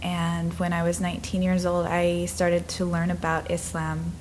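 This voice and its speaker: A woman in her twenties from Minnesota, telling her life story in an interview, earnest and reflective, sometimes dropping to soft breathy asides. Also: on the low side, monotone, normal speed, a little hiss or hum, poor audio. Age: 20s.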